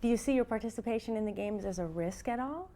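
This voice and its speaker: A woman's voice: a little high, animated, fast, warm tone, a little hiss or hum. A woman reporting on location, asking questions and showing things in a chatty, guiding tone. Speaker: field reporter. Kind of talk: on-location interviewing and walk-through.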